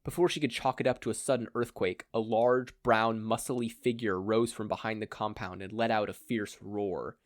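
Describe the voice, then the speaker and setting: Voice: mid-range voice, fairly even intonation, moderate pace, few pauses, dry recording, clean studio sound. A narrator reading a scripted fan fiction story aloud. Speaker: podfic narrator.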